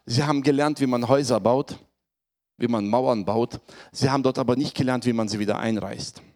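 A man preaching to his congregation, hoarse from a cold, speaking in a conversational way and often checking in with his listeners. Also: mid-range voice, a little fast, darkish tone. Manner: conversational, addresses congregation with tag questions. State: has a cold, voice affected. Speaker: pastor. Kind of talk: sermon.

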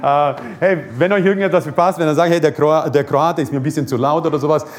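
A man's voice: on the high side, fairly even intonation, quick pace. A man from Croatia, preaching a fervent, repetitive sermon and prayer with joking asides.

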